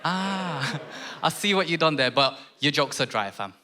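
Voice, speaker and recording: A man's voice: on the high side, expressive, unhurried. A man hosting a live comedy show on stage, trading teasing banter with his co-host. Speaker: radio breakfast show host. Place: theatre stage with a live audience. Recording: loud crowd and noise.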